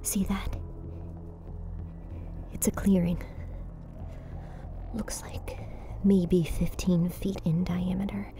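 An actress plays a wary woman alone in dark woods in a horror audio drama. Her voice is very soft and dark, hushed and hesitant, with faint music behind it. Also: on the low side, level tone, slow pace, long pauses. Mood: hushed, uneasy.

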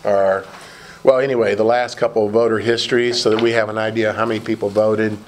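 A hoarse man chairing a committee meeting, talking haltingly with many fillers and restarts in a large meeting room. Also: lowish voice, level tone, background noise.

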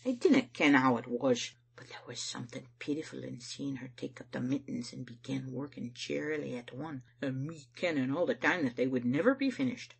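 A woman's voice: rumbling low, expressive, fairly quick, creaky, hardly any pauses, slightly rough, exaggerated voice. A woman voicing a proud, stubborn male church elder, stern and guilt-ridden, telling his tale emphatically in broad Scottish dialect. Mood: regretful.

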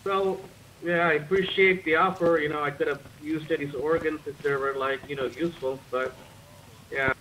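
A man's voice: fairly high pitch, fairly even intonation, moderate pace, a bit bright. A man improvising as a medic in an online roleplay game, talking casually and wryly over voice chat. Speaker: roleplay gamer playing a medic. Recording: noisy gaming voice chat.

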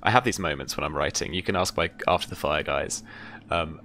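A man's voice: a bass voice, brisk, pushed and bright, a little hiss or hum. A man in his thirties chatting casually and enthusiastically as a game host, swearing freely, close to the mic on a video call. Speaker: game master and channel host. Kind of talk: casual post-game chat. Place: indoor room at home, on a video call.

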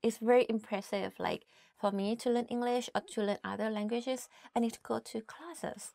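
A young Thai woman with a fairly high voice talks to the camera about learning the language. She is chatty and easygoing, often saying "like", and the close mic sounds very dry. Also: steady tone, fairly quick, gravelly, mellow, dry recording. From Thailand. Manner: chatty, says "like" often, reads Thai phrases then translates. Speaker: language teacher. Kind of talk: casual language lesson to camera. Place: indoor studio, plain backdrop.

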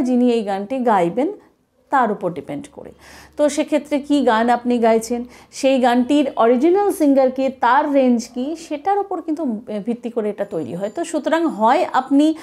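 A woman's voice: high-pitched, darkish tone. A woman, explaining as a singing teacher in an instructive, emphatic way. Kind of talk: explanatory video lesson.

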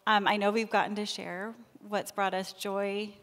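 A young woman with a soft voice who speeds up when excited, giving a sermon in a church sanctuary. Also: medium pitch. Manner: soft-spoken, talks fast when excited. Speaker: lay preacher. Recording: long reverb of a church hall.

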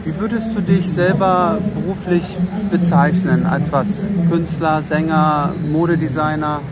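Polite male interviewer on a loud street. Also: on the high side, steady tone, unhurried. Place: outdoor city square. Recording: loud street and traffic noise. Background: traffic and city ambience.

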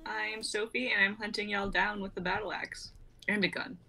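Bright, husky, animated young woman. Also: medium pitch, moderate pace, rough recording. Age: 20s. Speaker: regular performer on the stream. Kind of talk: casual chat.

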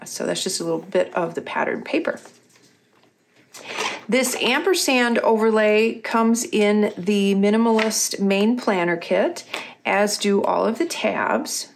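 A middle-aged woman, a little husky and losing her voice, chatting casually as she walks through her planner pages. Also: medium pitch, slight background noise. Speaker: craft company host. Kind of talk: casual craft tutorial voice-over.

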